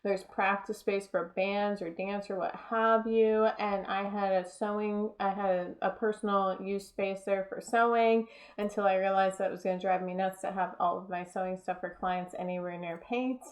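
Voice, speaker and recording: Woman interviewing casually, medium pitch. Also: steady tone, normal speed, hardly any pauses.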